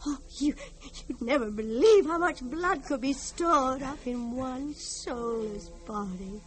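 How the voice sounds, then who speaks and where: An actress playing a haunted, fragile older mother in an old-time radio drama, theatrical, pleading and unhinged. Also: sits high. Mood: unhinged, morbid. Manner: theatrical, wheedling, drawn-out pleading.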